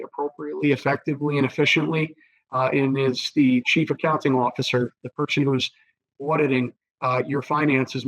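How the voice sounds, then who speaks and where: A hoarse man, a political candidate giving earnest interview answers, with many fillers and repeated words. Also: mid-range voice, fairly bright tone, dry recording, highs cut off. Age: 30s.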